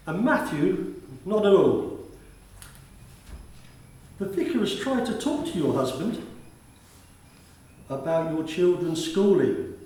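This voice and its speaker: A man acts out a courteous, chatty male school attendance officer in a radio play. His voice sits fairly high for a man and carries a kindly concern. It is captured at a distance in a very echoey room. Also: darkish tone. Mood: firm concern. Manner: courteous, formal address, talkative.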